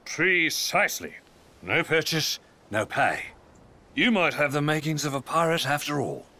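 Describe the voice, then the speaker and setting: A cocky pirate captain, bright and forceful with a slurred swagger. His florid lines swing up and down in a lively, slightly high-set voice, made for a swashbuckling game. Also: unhurried, heavily acted. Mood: cagey. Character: sly, swaggering adult male pirate captain. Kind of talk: video game voice acting.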